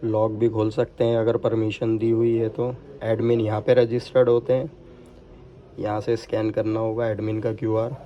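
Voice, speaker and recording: A man's voice: on the low side, completely flat delivery, normal speed, muffled recording, slight background noise. A man giving an unscripted, step-by-step hands-on installation demo, mixing in English technical terms. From India. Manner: step-by-step explaining with English technical words mixed in. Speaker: product installation demonstrator.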